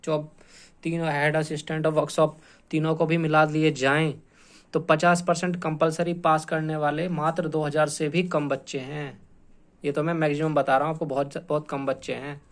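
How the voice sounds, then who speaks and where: A man explaining like an exam coach, informal and repetitive, stressing points and often adding check-in tag phrases. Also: fairly high pitch. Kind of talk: informal explanatory lecture to students.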